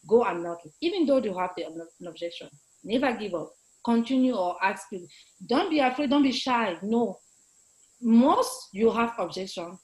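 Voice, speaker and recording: A middle-aged woman, a native French speaker, talks into a webcam from home. She speaks with force and urges the listener on, gesturing as she goes. Her pitch sits in a middle range. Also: low-quality recording. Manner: emphatic, gestures a lot, exhorting. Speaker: guest speaker, entrepreneur. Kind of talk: motivational talk on a video call. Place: home room, indoors. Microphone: video call webcam.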